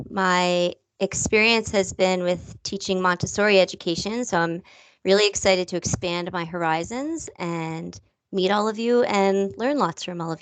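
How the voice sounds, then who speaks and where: A woman introduces herself to her classmates with eager friendliness. Her voice is smooth and clear at a middle pitch. The sound is dry and close. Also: no room sound, low-quality recording. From Nova Scotia. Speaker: student. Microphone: video call.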